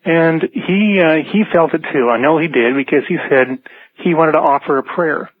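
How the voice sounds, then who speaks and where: A man's voice: on the high side, normal speed, no room sound. A man calling a radio show, telling a personal anecdote in a tentative, matter-of-fact way. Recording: narrow, dry phone line.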